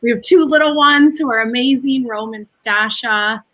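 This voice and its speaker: A woman in her thirties with a clear Wisconsin accent talks over a video call. Her voice is bright and forward, and she explains her work in long, upbeat run-on sentences. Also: a little high, normal speed. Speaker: guest, productivity coach. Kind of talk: casual video chat.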